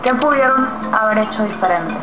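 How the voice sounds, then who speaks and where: A woman's voice: a little high, animated, a little fast. A woman interviewing a guest for television, asking direct, probing questions. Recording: echoey, dull TV audio with loud music.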